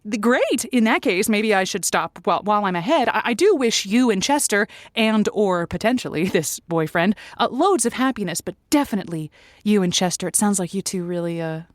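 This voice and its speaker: A woman's voice: mid-range voice, expressive, brisk, no echo, natural voice. Woman playing a sympathetic advice host, dry close sound. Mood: amiable, thoughtful. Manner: hesitant, stammering, sympathetic. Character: thoughtful, sympathetic female advice-show host. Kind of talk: conversational call-in advice.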